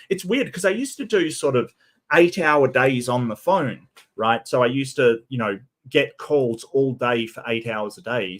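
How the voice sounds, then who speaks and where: A man hosting a solo stream, talking in a casual way. His voice is croaky and tired, and he often stammers and repeats his first words. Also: medium pitch. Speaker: streamer host.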